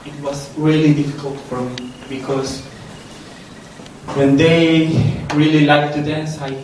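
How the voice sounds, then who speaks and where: A young man speaks from far off in a very echoey room. He gives a personal testimony slowly and haltingly, with nervous, self-deprecating delivery. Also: fairly high pitch, flat delivery, a little mellow. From Colombia. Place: reverberant room, speaking to a gathering. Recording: echoey room, distant mic, faint noise.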